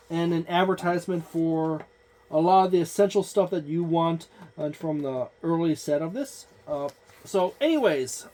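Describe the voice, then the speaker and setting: A man's voice: high voice, warm tone. A man giving an unscripted review of a tabletop game book, meandering and thinking aloud as he goes.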